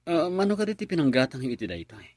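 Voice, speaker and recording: A man's voice: a little high, big pitch swings, fast, darkish tone, dry recording, clean studio sound. A man playing an earnest, lovestruck young suitor in a radio drama. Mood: curious. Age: young adult. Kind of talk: Filipino radio drama acting.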